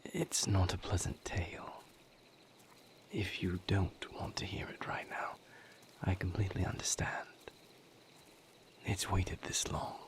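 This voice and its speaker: A man playing a brooding, tender vampire lover with a painful past, speaking hesitantly and formally in a breathy, intimate audio roleplay monologue. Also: fairly even intonation. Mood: guarded, somber. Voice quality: breathy, whispered, low-pitched. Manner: hesitant, formal wording, measured, pausing.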